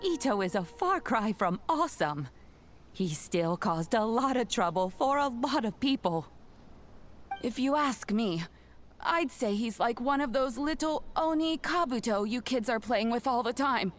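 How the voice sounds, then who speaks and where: An actress playing a kindly old granny in a game, speaking in a gentle, measured, motherly way. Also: a little high, animated, a little hiss or hum. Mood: fond scolding. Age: older.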